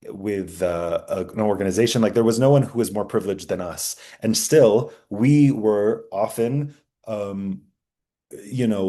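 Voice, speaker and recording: A young man teaching a class, talking earnestly with many fillers, restarts and pauses. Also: lowish voice, warm tone. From United States. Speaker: journalism instructor. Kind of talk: class lecture with slides.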